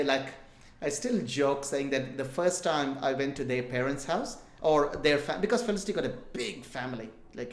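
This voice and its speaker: A middle-aged man from India with an Indian English accent touched by Australian, telling jokey, rambling stories as an interview guest. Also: fairly high pitch. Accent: Indian English with Australian influence. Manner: rambling, self-deprecating, jokey storytelling. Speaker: guest entrepreneur. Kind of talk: casual interview talk. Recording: roomy echo.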